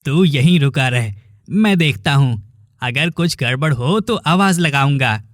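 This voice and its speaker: A man's voice: on the high side, animated, a little slow, dry recording. A man voicing a bold, teasing young villager in a dramatic cartoon. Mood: alert, bold. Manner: familiar, teasing, emphatic.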